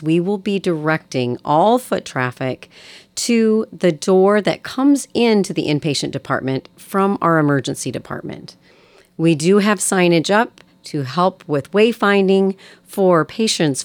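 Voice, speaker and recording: A woman's voice: deep voice, no echo. An American woman hosting a community radio program, reading announcements in an upbeat, welcoming manner. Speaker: radio host.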